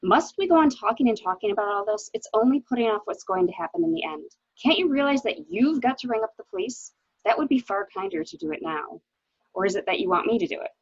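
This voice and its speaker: Woman acting a husky, creaky, tense murder-suspect wife. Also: a little high, sing-song, fast, no echo, lo-fi sound, full character voice. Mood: weary, impatient. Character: tense, evasive wife suspected of shooting her husband. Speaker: library staff reader. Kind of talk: amateur dramatic reading of a murder mystery.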